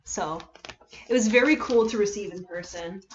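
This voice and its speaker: A woman chatting casually to viewers as a livestream host, halting and rambling, often trailing off and correcting herself. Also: medium pitch, lo-fi sound, slight background noise. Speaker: livestream host reading fan fiction.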